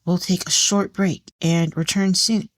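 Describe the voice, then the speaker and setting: A woman voicing a poised, proud singer who is gracious and guarded, speaking in a composed, measured way in an audio drama. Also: on the low side, fairly even intonation, no room sound, pitched above the performer's own voice.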